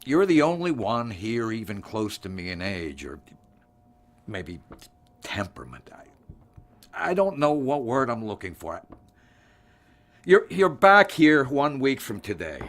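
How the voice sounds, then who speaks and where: A slow-spoken, formal older director. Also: male voice, mid-range voice, sing-song, a bit bright, exaggerated voice. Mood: hesitant, softened. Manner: formal, halting, deliberate. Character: reserved older male director of a secret operation. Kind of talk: audio drama dialogue.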